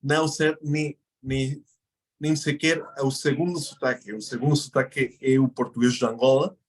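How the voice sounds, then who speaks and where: A man from Mexico, a native Spanish speaker, chats as an interview guest. His voice sits at an ordinary middle pitch. He explains his points patiently, pausing and correcting himself along the way. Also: steady tone. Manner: explanatory, thinks aloud with pauses and self-corrections. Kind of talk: casual interview conversation.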